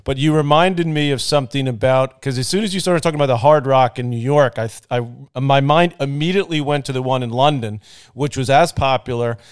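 A man's voice: medium pitch, fairly quick, clean tone. A man hosting a podcast interview, asking long, rambling questions with many restarts while he is losing his voice. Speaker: podcast host.

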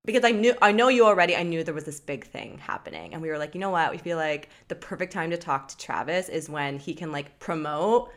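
Effusive woman co-host, slightly low voice. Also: expressive, fast, few pauses. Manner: effusive, informal. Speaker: podcast co-host, interviewer. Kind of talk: casual chat.

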